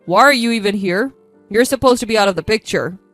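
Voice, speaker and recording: A woman's voice: medium pitch, lively intonation, brisk, a bit bright, dry recording, close to an everyday voice. A woman voicing a blunt, bitter man in a story's family feud. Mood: angry, cold. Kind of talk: acted dialogue in a narrated story. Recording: background music under the voice.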